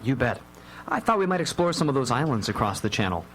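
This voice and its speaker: A man playing a calm, protective father in a radio drama, with dry, mock-formal humour. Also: medium pitch, quick pace. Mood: wry, cheerful. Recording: live radio recording, faint hum.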